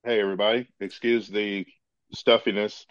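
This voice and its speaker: A man co-hosting a casual podcast, congested from a head cold, relaxed and agreeable. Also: lowish voice, normal speed, dry recording, lo-fi sound. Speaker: podcast co-host. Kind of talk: casual chat.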